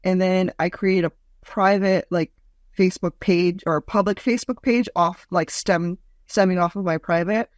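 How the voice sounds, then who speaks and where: A woman in her forties with a deep voice, a comedian guest telling stories in a lively, mocking way. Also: moderate pace, no echo, clean studio sound. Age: 40s. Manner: lively, storytelling, mocking asides. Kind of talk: casual interview chat.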